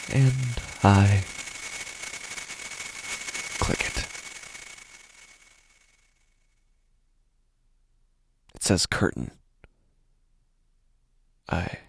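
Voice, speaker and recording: Guy acting deep voiced anxious office worker losing it, halting intense horror podcast monologue, first person. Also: creaky, background noise, heavily acted. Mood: hushed dread. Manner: halting, intense delivery in short broken phrases. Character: anxious, unraveling male office worker narrating his horror.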